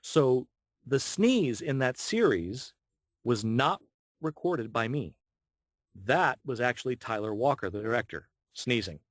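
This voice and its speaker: A man of average pitch talks casually as an interview guest. He is a voice actor and tells his stories in an animated way, voicing the people he quotes. The sound is dry, with very little room echo. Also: unhurried. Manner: animated storyteller, acts out quoted dialogue. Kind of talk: casual anecdotal interview talk.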